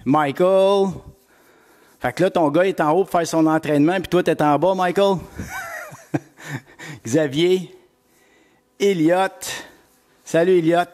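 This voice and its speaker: A man's voice: fairly high pitch, takes it slowly, pauses often, a little mellow. A middle-aged man with a Québécois accent, coaching a live-streamed workout class and greeting viewers by name with lots of energy. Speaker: fitness coach.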